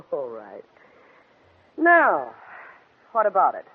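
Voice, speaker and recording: A woman's voice: fairly low pitch, huge pitch swings, takes it slowly, slightly rough, exaggerated voice. An actress playing a breezy, self-assured, flirtatious woman in a 1940s radio drama. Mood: cool, confident. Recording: tinny vintage 1940s radio recording.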